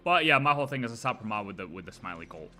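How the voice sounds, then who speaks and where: Young male streamer, bright tone, music under, rambling casual reactions. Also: on the low side, sing-song, racing. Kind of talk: live game reaction stream.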